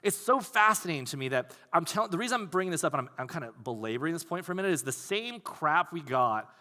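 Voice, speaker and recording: Man, pastor, sermon, bright tone, conversational, long reverb. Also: on the high side, fast. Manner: conversational, animated, acts out quoted voices.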